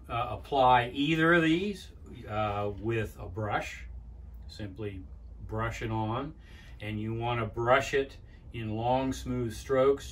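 An older man giving a plainspoken woodworking how-to in his home workshop, pausing with "uh" as he explains. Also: mid-range voice, far from the mic. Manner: plainspoken, with frequent "uh" pauses and restarts. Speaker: woodworking instructor. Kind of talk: casual how-to demonstration. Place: cluttered home workshop, indoors. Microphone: camera at a distance.

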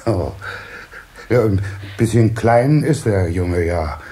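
An actor playing a doting, excitable water-sprite father in a children's audio play, hemming and easily delighted. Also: lowish voice, slow pace. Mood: curious, pleased.

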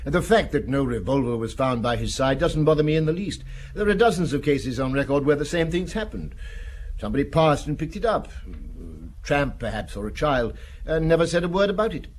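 An actor in a British radio drama playing a curt, self-assured French official who speaks with formal, assertive courtesy. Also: male voice, fairly high pitch, animated, fairly quick, slight background noise, lightly acted. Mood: confident, dismissive.

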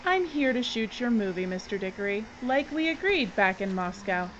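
A woman acting a brisk, sardonic, no-nonsense film director in an audio drama. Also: on the high side, sing-song, fairly bright tone. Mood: cool, guarded. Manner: bossy, wry. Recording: dull, slightly noisy recording.

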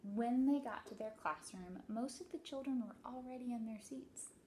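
A woman in her thirties, a teacher doing an expressive read-aloud story time for children. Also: fairly high pitch, moderate pace, slight background noise. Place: small indoor office.

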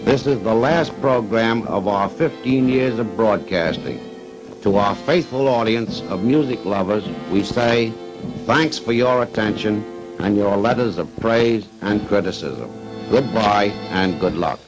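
A man making a formal, measured 1950s radio announcement. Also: lowish voice, low-quality recording. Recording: 1950s radio archive with music.

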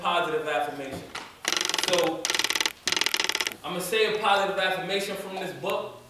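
Young man, workshop leader, casual and encouraging, somewhat high voice, very echoey room, camera far off, loud hiss. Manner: casual, encouraging, repeats affirmation slowly. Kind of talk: youth workshop teaching. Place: large community room with hard walls.

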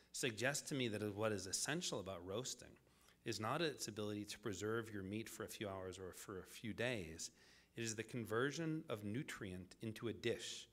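A man delivering a scripted public lecture as the lecturer, in deliberate, measured phrases with dramatic pauses. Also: lowish voice, a bit bright.

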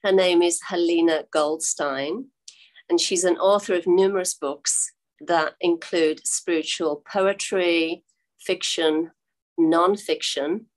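An older woman hosts an interview over a video call from a room at home. Her voice sits a little low, and she talks in an encouraging way with drawn-out phrasing. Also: poor audio. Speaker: host. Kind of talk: interview hosting. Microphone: video call webcam.

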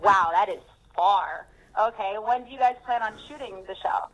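A woman's voice: mid-range voice, normal speed, a bit bright, a little hiss or hum. A young woman talking casually on a phone call, heard through a speakerphone. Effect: telephone speaker. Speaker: call recipient.

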